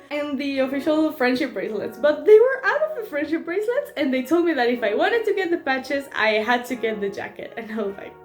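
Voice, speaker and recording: A woman's voice: high-pitched, sing-song, a little fast. A young woman from Mexico, chatting casually and giggling in a vlog, often restarting her sentences. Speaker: vlogger. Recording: echoey room. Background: faint background music.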